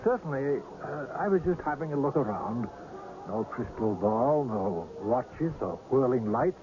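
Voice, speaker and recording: A man's voice: medium pitch, animated, a little slow, lightly acted. An actor in a radio drama playing a polite, mysterious older man with a genteel, precise, faintly hesitant manner. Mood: musing, knowing. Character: polite, mysterious old scout of seers. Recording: dull, noisy old radio broadcast.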